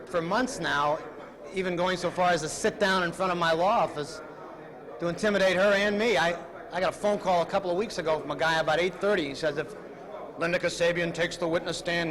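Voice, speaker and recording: An American man, an attorney giving press remarks in a blunt, fed-up, plain-spoken way. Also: a little high. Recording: archival newsreel with crowd noise.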